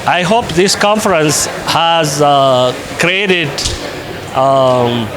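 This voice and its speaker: A man from Ethiopia, an invited conference speaker, answering interview questions in a measured way with frequent pauses and fillers. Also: fairly high pitch, over music, rough recording. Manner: measured, with many hesitations and fillers.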